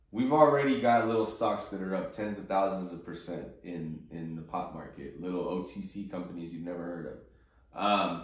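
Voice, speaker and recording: A man with a slightly low voice speaks in a heavily echoing room. He hosts an investing call off the cuff, blunt and opinionated, with coarse, casual wording. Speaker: host of an investing conference call. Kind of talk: informal market commentary, speaking off the cuff. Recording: very roomy, echoing sound.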